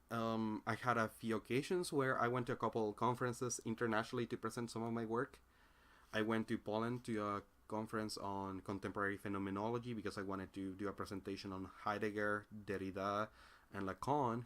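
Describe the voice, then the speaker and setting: A man's voice: lowish voice, a bit bright. A young man with a Spanish accent, a native Spanish speaker, talking through his ideas in a casual reflective monologue, searching for words with frequent fillers and restarts. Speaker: independent philosophy commentator.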